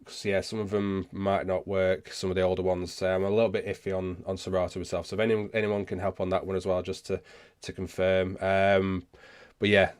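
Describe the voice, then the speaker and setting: A man hosting a casual live question-and-answer session, speaking haltingly and often rephrasing himself as he goes. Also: low voice, level tone. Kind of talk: casual livestream chat, answering viewer questions.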